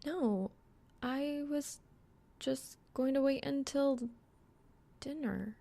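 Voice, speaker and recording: Higher-voiced, playful young studious woman, as a narrator plays her. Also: very slow, gravelly, warm tone, heavily acted. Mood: casual, sleepy. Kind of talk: acted audiobook dialogue.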